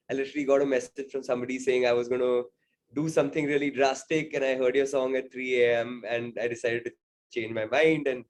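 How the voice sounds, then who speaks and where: A young man, a singer-songwriter, answering interview questions in a reflective, casual way, often pausing with fillers. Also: mid-range voice. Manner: reflective, many fillers like "like" and "I mean".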